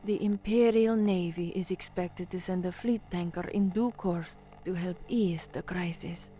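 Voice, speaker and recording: A woman's voice: lowish voice, steady tone, measured pace, mellow, slight background noise, natural voice. An actress playing a young, anxious woman who works as a radio newsreader in an audio drama. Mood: formal, composed. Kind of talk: audio drama dialogue, narration and formal newsreading.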